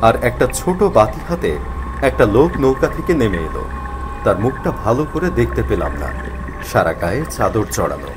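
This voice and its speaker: A man narrating a spooky first-person story in an expressive, dramatized way. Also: medium pitch, moderate pace, noisy background. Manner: expressive, acts out the character's worried thoughts. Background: faint music.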